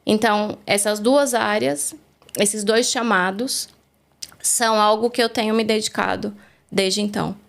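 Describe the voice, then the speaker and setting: A woman's voice: mid-range voice, fairly even intonation, a little slow, vocal fry. A woman from Brazil, the guest on a faith interview, telling her story in an earnest, reflective way, recorded in a studio.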